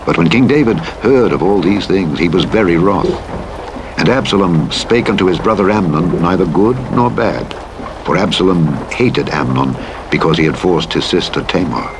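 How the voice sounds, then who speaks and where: A man reading scripture aloud in a measured, solemn way, with rain and engine noise behind. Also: deep voice, expressive. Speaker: scripture reader.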